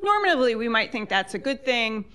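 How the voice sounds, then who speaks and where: A woman's voice: fairly high pitch, sing-song, quick pace, sharp tone, clean tone. A woman political science professor speaking on a panel, articulate and explanatory. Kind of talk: academic panel talk. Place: lecture hall with online audience.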